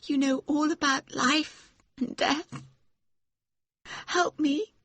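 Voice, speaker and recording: A man voicing an earnest, anxious young wife in a high, strained falsetto. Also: steady tone, takes it slowly, bright, forceful tone, no room sound, full character voice. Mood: pleading.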